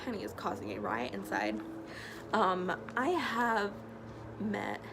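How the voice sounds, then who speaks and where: A young woman with a stuffy nose talks earnestly through a casual vlog, filling pauses with ums. Her voice carries a gravelly fry. She records outdoors, and clear traffic noise runs behind her. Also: mid-range voice, very animated. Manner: chatty, earnest, many fillers. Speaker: vlogger. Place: outdoors by a loud road. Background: passing traffic.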